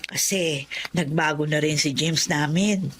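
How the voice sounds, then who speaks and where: A woman playing a warm, excitable older Filipino grandmother in a radio drama. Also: sits low, a little slow, a little hiss or hum. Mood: gentle, reassuring.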